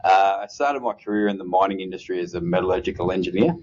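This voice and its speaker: A man in his fifties with an Australian accent, a mining executive answering interview questions in a measured way, pausing often with "uh". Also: low voice, a little mellow, highs cut off, slight background noise. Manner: measured, with frequent "uh" pauses.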